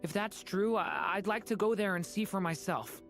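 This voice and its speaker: A woman voicing an earnest young dragon boy in a video game, gentle, hesitant but brave. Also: medium pitch, moderate pace, soft background music, natural voice. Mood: hesitant, wistful.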